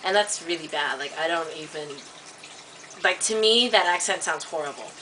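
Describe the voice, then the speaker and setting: Bright, chatty woman on a distant mic. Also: normal speed. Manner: chatty, filler-heavy, many "like" and "um" restarts; animated, rises high when indignant. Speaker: vlogger. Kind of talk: casual rambling monologue to camera. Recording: distant webcam mic with faint hiss.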